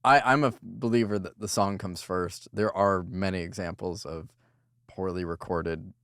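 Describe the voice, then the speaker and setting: A man's voice: low-pitched, steady tone, normal speed, fairly bright tone, dry recording, clean studio sound. A young man, a recording engineer, talking earnestly in long run-on thoughts in a casual podcast chat.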